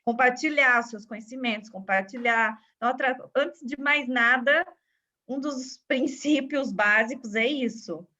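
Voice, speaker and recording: Chatty Brazilian woman with European Portuguese touches, high voice, over a muffled video call; organizer type. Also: unhurried, pushed and bright. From Brazil, São Paulo countryside. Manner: chatty, fills with "né" and "assim". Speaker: community organizer. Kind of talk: casual video call chat. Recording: dull lo-fi video call audio.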